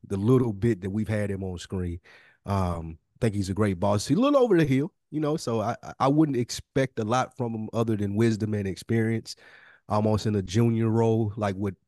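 Male co-host, deep-leaning mellow voice, dry close sound, laid-back slangy podcast chat. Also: no room sound.

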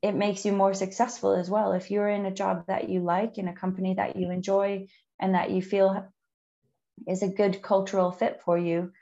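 A woman who is a native English speaker, hosting a conversational interview in a measured, encouraging way with frequent ums. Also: medium pitch, level tone, warm tone, lo-fi sound. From outside the UK. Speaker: podcast host.

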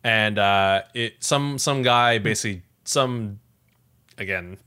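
A man's voice: on the low side, slow, pushed and bright, clear, resonant tone. A Canadian man co-hosting a podcast in casual chat, talking in a hesitant, rambling way full of filler phrases. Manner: hesitant, rambling, frequent "like" and "you know". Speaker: podcast co-host. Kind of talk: casual tech news chat.

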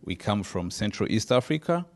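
A man from Rwanda giving a church testimony, speaking slowly and emphatically with pauses between phrases. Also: lowish voice, sing-song. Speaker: missionary guest.

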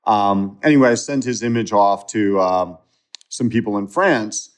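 A man's voice: lowish voice, measured pace. A man speaking as an interview guest, retelling a story in a casual, conversational way with frequent fillers and restarts.

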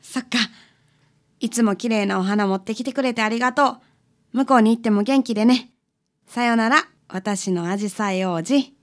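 A woman's voice: a little high, moderate pace, natural voice. A woman playing a polite, tender-hearted detective's client with a Kansai accent in a radio drama. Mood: gentle, wistful. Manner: polite, hesitant.

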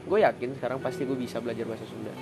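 A man's voice: mid-range voice, rapid-fire. Young man from Papua, slangy easygoing podcast co-host, music playing behind. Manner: slangy, animated when mimicking accents. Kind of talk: casual chat.

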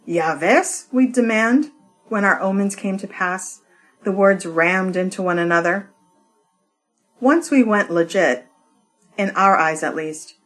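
A woman giving an expressive poetry reading, with deliberate, measured phrasing and pauses. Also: medium pitch, faint music behind. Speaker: poetry reader.

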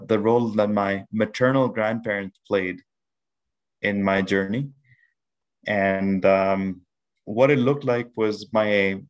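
Measured, lower-voiced man from Pakistan. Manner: measured, with frequent restarts and fillers. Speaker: podcast guest. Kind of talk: reflective storytelling interview.

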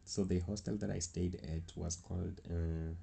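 A man's voice: low voice, fairly even intonation, moderate pace, gravelly, warm tone, a little hiss or hum. A young man from South Africa with a South African accent, telling a rambling travel story in a casual way. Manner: rambling, restarts often, chuckles. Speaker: travel vlogger.

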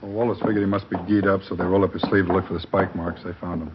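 An actor playing a blunt, commanding police captain in a vintage radio crime drama, clipped and businesslike. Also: male voice, deep voice, level tone, very fast, natural voice. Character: brisk, commanding police captain questioning a suspect. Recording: muffled, noisy vintage radio recording.

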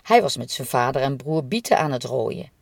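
Low-voiced woman narrating. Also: lively intonation, moderate pace, gravelly. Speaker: podcast host and narrator. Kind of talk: scripted documentary narration.

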